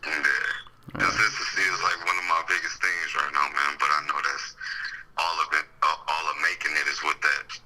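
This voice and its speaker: A man's voice: rumbling low, flat delivery, normal speed, bright, forceful tone, poor audio. A young man from Detroit in his twenties, a rapper answering interview questions in a laid-back, slangy way with brief answers over a remote call. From Detroit, USA. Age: 20s. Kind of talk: casual interview chat.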